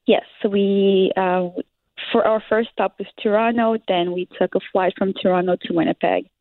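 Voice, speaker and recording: A woman's voice: mid-range voice, fairly even intonation, moderate pace, no room sound. Young Liberian woman, phone-like sound. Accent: Liberian English. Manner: hesitant, many fillers, laughs often. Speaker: interviewee. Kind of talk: interview answers.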